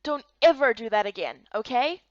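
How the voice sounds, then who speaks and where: A woman playing an earnest, chatty young heroine in a fantasy game, speaking in an expressive, exclaiming way. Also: a little high, normal speed, muffled recording, very noisy, close to an everyday voice. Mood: scolding, startled.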